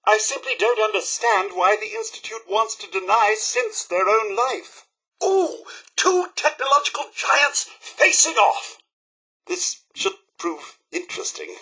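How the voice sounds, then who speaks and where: An actor playing a polite, fussy robot butler in a video game, with a British accent and a proper, theatrical delivery. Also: male voice, sits high, expressive, unhurried, sharp tone, breathy edge, full character voice. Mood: intrigued, earnest. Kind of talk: scripted video game companion lines.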